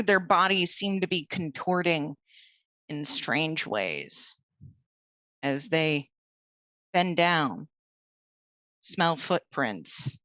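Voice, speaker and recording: A woman narrating as storyteller for a tabletop werewolf game, setting scenes slowly and deliberately. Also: low voice, bright, forceful tone, no echo.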